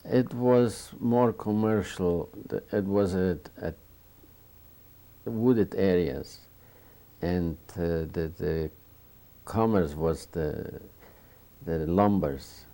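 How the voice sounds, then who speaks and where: An older man in his seventies, from a small town in Romania, speaks slowly in a deep, gravelly voice. He searches for words and restarts often, and his tone is dark and mellow. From Bukovina, Romania. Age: 70s. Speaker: Holocaust survivor interviewee. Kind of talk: oral history interview.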